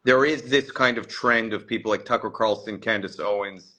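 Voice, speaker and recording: Bright-voiced man hosting a livestream. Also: on the low side, moderate pace. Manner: chatty, filler-heavy, repeats words. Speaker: livestream host. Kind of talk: casual livestream interview.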